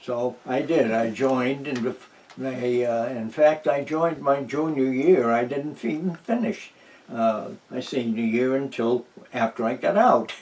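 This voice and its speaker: A man in his nineties from New Hampshire, speaking very slowly and circling back through restarts, fillers and soft chuckles. He sits a little away from the microphone, so his voice sounds distant. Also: mid-range voice. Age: 90s. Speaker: interviewee, veteran. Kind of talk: oral history interview. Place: at home.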